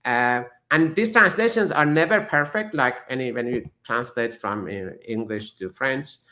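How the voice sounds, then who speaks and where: Persian-native guy, bright voice, explaining physics slowly, lots of restarts. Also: mid-range voice, expressive. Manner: thoughtful, halting, many restarts and fillers. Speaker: physicist guest. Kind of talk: explanatory interview talk.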